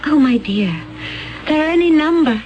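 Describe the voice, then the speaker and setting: A serene alien woman in an old radio play, speaking with a high, lilting voice that floats up and down. Her manner is calm, gentle and strangely detached. The sound is dull and lo-fi, like an old broadcast. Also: normal speed, slight background noise. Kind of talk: 1970s radio drama acting.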